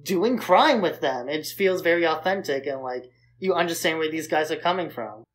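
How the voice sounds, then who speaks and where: A man's voice: on the high side, lively intonation, moderate pace, a bit bright. A man talking casually as the co-host of a podcast, in an informal discussion of films.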